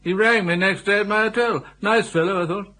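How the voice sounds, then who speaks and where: An actor playing a vague, forgetful elderly upper-class English lord in a radio comedy, hesitant and muddled, often repeating himself. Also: male voice, high-pitched, a little fast, pushed and bright. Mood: absent-minded, bewildered. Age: older. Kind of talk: BBC radio comedy drama acting.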